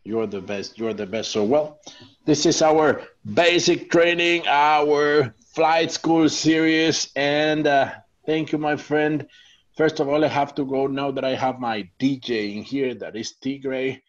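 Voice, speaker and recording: A man's voice: medium pitch, fairly even intonation, a little slow, muffled recording. A man from Mexico with a Mexican Spanish accent, Spanish his first language, giving an online training talk with many fillers and restarts. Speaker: network marketing trainer.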